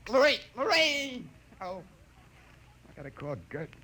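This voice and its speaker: Hapless American working husband, high-pitched and flustered, 1950s radio style with heavy background noise. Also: male voice, very animated, unhurried, full character voice. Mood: flustered, pleading. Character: harried married repairman, frantic, hapless everyman. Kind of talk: 1950s radio drama acting. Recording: noisy vintage 1950s radio recording.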